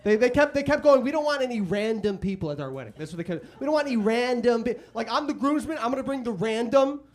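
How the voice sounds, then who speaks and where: A young man from Chicago with a Chicago accent doing a stand-up comedy set, chatting loosely and shouting out crude acted-out quotes. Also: very high-pitched, lively intonation, fast talker. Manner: conversational, crude punchlines, loud acted-out quotes. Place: comedy club stage. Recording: live club audience audible. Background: audience laughter.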